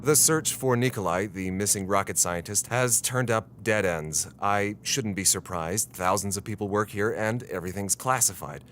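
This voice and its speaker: A man's voice: on the low side, normal speed, hardly any pauses, fairly bright tone, slight background noise, close to an everyday voice. A man with an American accent playing an earnest, frustrated 1960s reporter who narrates a brooding audio diary in a radio drama. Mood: matter-of-fact, frustrated. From United States.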